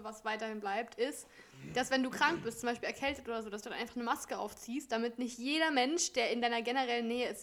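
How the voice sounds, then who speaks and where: A woman's voice: a little high, a bit bright. Giggly rambling young woman chatting. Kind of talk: casual chat.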